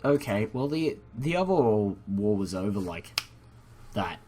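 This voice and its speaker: A man's voice: on the low side, sing-song, a little slow, warm tone, a little hiss or hum. A young man, a native English speaker, giving rambling, self-deprecating and apologetic casual commentary as the host of a gaming video, recorded indoors at a computer. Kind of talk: casual unscripted game commentary.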